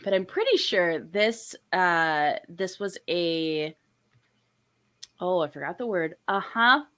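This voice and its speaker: A young woman hosts a relaxed drawing stream, bubbly and quick to laugh. Her voice is bright and swings widely in pitch, with a slightly stuffed-up, nasal sound from a cold. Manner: bubbly, chatty, lots of laughter. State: congested with a cold, a bit nasal. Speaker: host and artist. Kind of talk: casual chat while drawing on stream.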